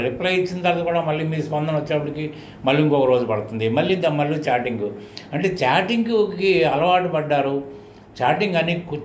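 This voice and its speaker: A middle-aged man with a Telugu Indian accent, a coaching teacher giving informal, didactic advice to students and repeating points for emphasis. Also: a little high. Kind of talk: informal advisory talk to students. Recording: echoey room, faint background noise.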